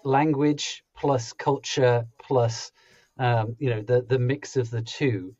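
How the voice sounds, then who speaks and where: A man's voice: medium pitch, steady tone, slow pace, darkish tone, no room sound, highs cut off. A man in his thirties hosting a podcast, asking curious, friendly questions with a few fillers. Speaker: podcast host. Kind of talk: interview questions. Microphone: headphones with a desk mic.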